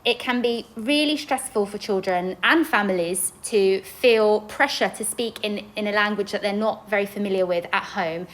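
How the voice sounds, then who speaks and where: A woman's voice: medium pitch, moderate pace, few pauses. A young adult woman from Poland, a native Polish speaker and an inclusion teacher, earnestly explaining language learning to parents. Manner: earnest, with occasional restarts. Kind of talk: podcast-style school presentation for parents.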